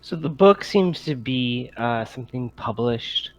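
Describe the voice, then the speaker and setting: A man's voice: mid-range voice, animated, unhurried, rough recording. A man running a tabletop game, explaining things casually with easygoing, hesitant phrasing over some background noise. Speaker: game master. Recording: faint background room noise.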